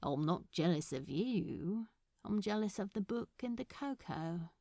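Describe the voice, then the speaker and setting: A woman voicing a shyly flirtatious, smitten man in a story, halting and self-conscious. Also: medium pitch, expressive, mellow, no echo, clean studio sound. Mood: tender flirting.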